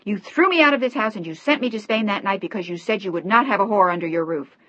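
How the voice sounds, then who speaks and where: A woman's voice: lowish voice, normal speed, no room sound. A woman playing Rafaela, a raging betrayed daughter. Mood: furious. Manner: shouting, sobbing accusations. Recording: dry, slightly dull recording.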